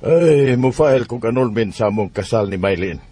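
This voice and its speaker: Husky-voiced Bisaya father. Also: mid-range voice, animated, normal speed, mellow, faint music behind. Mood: resolved. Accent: Bisaya Filipino. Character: fond, hurt father set on ending his marriage. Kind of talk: Bisaya radio drama acting.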